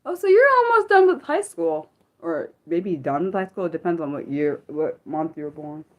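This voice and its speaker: A woman in her thirties hosting an unscripted livestream, chatting casually with viewers and reading comments, rambling and correcting herself as her energy swings between excited and subdued. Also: low-pitched, normal speed. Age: 30s. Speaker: livestream host.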